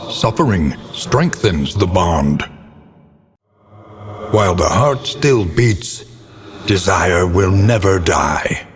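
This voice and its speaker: Very deep, slow, brooding gothic narrator. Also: male voice, expressive. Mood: grave, stately. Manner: solemn, ornate, theatrical delivery. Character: grave, brooding male narrator of a gothic horror game. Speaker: game narrator. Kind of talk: scripted dramatic video game narration. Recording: long cavernous reverb.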